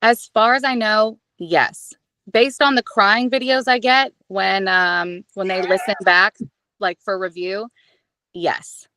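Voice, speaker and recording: Expressive chatty woman, dry sound. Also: medium pitch, slow pace, no room sound, low-quality recording. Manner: chatty, expressive, self-deprecating. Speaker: audiobook narrator and guest. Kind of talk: casual interview chat.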